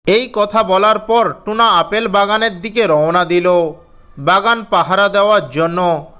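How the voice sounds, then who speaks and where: A woman storyteller reading scripted narration for a children's animated fairy tale. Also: medium pitch, unhurried.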